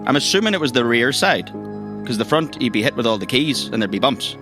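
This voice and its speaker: An actor playing a chatty, thirsty agency boss in a comedic audio drama, with a Northern Irish accent and a rambling, hesitant, colloquial way of talking. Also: male voice, mid-range voice, very animated, fast talker, over music, close to an everyday voice. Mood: gossipy. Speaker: mission giver briefing recruits.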